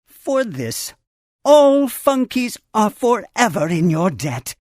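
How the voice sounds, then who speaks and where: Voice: medium pitch, very animated, slow, a little mellow, no echo. Theatrical, wise game mentor creature, dry recording. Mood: solemn, regretful. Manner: theatrical, solemn, formal storytelling delivery. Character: wise male Funkey mentor, grand, earnest tree guardian. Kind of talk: scripted video game character dialogue.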